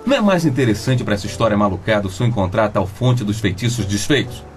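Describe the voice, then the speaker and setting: A man as an exasperated, very animated sidekick. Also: lowish voice, quick pace, music in the background, lightly acted. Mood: incredulous, exasperated. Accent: Brazilian. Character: exasperated, blunt, comic male best friend. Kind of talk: Brazilian radio drama acting.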